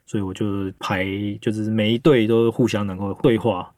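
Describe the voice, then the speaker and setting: A man's voice: lowish voice, fairly even intonation, moderate pace, darkish tone, dry recording, studio quality. A man with a Taiwanese Mandarin accent, chatting casually as a podcast host and director about audio drama production.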